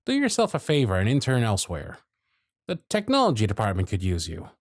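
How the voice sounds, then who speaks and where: Guy voicing a tired sarcastic workshop supervisor, nearly a century on the job, blunt, lively up-and-down delivery, audio drama. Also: fairly low pitch, fairly quick, no room sound, studio quality. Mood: bitter, exasperated. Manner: sardonic, blunt. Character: jaded male workshop supervisor, century-old, gruff and weary. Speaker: voice actor in audio roleplay. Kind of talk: ASMR roleplay acting.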